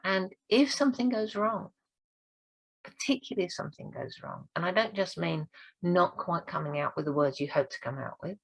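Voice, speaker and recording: A woman speaking coach giving an encouraging training talk, her voice croaky and hoarse this week. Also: lowish voice, moderate pace, rough recording. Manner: encouraging, earnest. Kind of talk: direct-to-camera training talk.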